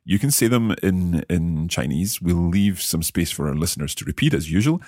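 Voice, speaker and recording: A Scottish man hosting a language podcast, speaking in an upbeat, careful way and practising phrases slowly. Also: sits low, lively intonation, darkish tone, dry recording, studio quality. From Scotland. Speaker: language course host. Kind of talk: scripted podcast lesson.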